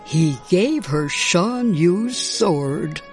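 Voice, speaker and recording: A woman's voice: fairly low pitch, animated, drawn-out words. A woman narrating a children's storybook as a scripted read-aloud. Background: music.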